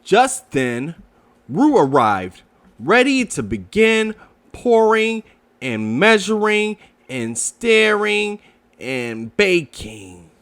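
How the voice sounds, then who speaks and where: A man reading a children's storybook aloud, with expressive, deliberate narration for young listeners. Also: high voice. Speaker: children's book reader.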